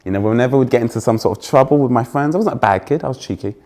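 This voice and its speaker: Young English male keynote speaker, fairly posh accent, loud, energetic, teases the crowd. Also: mid-range voice, very fast. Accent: trained-out Essex, now fairly posh English. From England. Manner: energetic, joking with the audience, self-deprecating. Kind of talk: conference keynote talk. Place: stage before a live audience.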